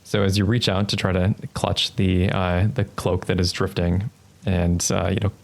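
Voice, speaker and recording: Low, gravelly man guiding a tabletop game. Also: level tone, moderate pace. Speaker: game master. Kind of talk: narrating a tabletop roleplaying game, improvised.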